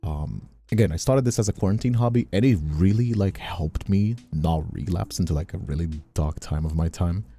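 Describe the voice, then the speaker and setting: A man's voice: low voice, moderate pace, few pauses, dark tone, faint music behind. A man from Brazil chatting casually and informally as a streamer on a livestream, repeating himself for emphasis. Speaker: streamer and voice actor.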